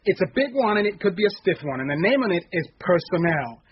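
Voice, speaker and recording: An actor playing a smug, evasive management consultant with pompous, unctuous, jargon-laden talk in a radio drama. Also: male voice, high voice, sing-song, brisk, husky, dull audio, exaggerated voice. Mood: gushing, smug.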